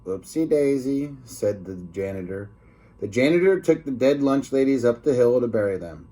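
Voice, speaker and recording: A man's voice: medium pitch, animated, normal speed, dark tone. A man reading a children's book aloud expressively, like a teacher, with informal asides to his listeners.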